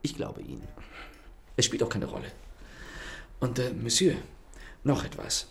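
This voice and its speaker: An actor playing a charming, ironic gentleman thief in a radio play, speaking with smooth, courteous mockery. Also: mid-range voice, expressive, a little slow, a little hiss or hum. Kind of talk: radio drama acting. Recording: studio radio play with faint music.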